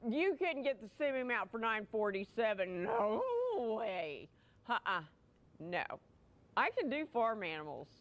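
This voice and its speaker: Playful tv shopping lady, bright sharp voice, chatty sales pitch, replayed broadcast. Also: very animated, a little slow. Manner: playful, chatty, teasing co-host. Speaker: home shopping presenter. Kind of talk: live on-air sales pitch.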